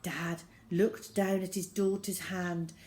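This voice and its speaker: A woman reading a children's novel aloud as an expressive storyteller. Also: lowish voice, takes it slowly.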